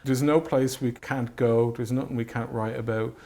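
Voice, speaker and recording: A man speaking reflectively in an interview as a writer and director, halting and thoughtful, often repeating phrases as he goes. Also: medium pitch, steady tone, vocal fry, warm tone.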